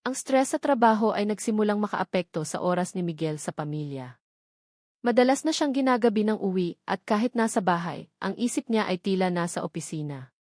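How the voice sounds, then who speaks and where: A woman's voice: medium pitch, expressive, fairly quick, a little mellow, no room sound, studio quality. A woman with a Filipino accent reading scripted story narration as the narrator of an audio drama.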